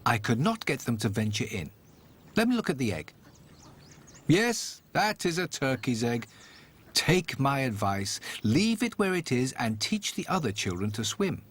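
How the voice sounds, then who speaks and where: A man's voice: mid-range voice, very animated, a little slow, a bit bright, slightly rough, full character voice. Man doing a bossy old lady duck, swooping. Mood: opinionated, bossy. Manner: opinionated, advising. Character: bossy, know-it-all old female duck. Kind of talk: storybook character voice.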